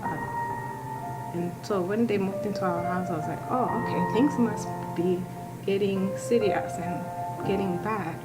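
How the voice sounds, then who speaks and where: A young woman from Rwanda, soft-spoken and halting as she tells her story in an interview, often circling back to restart a sentence. Her voice sits at an everyday middle pitch. A loud music bed plays under her throughout. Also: rough recording. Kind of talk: personal testimony interview.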